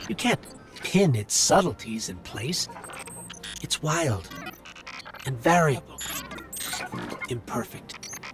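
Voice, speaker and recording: An actor playing a thoughtful, earnest robot companion in a video game, reflective and articulate. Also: male voice, mid-range voice, close to an everyday voice. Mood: impassioned.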